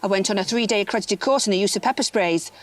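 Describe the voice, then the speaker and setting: An actress playing a prim, dim, officious shop assistant in a comedy audio play, matter-of-fact, suspicious and gossipy. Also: female voice, medium pitch, fast talker, close to an everyday voice. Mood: indignant, gossipy.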